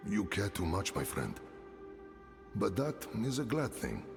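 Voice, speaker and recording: A gentle older town elder speaks in a fatherly, unhurried way. His low, gravelly voice is lively in pitch and carries a long hall reverb over the game music. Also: heavily acted. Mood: calm kindly. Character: kindly older male town leader, gentle and steady.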